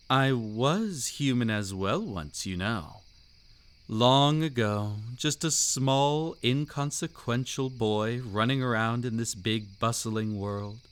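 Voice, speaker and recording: Man playing a slow-talking, seductive vampire count. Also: on the low side. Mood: smug, seductive. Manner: slow, suave, teasing, theatrically formal and possessive. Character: seductive, commanding vampire count, ancient but youthful-looking man. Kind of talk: intimate roleplay audio drama, scripted monologue.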